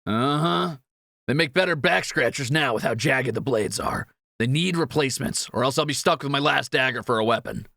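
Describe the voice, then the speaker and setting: A man's voice: mid-range voice, normal speed, gravelly, sharp tone, no echo, clean studio sound. A man playing a cocky, greedy thief in a fantasy audio roleplay, teasing and sarcastic with swaggering banter. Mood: insistent.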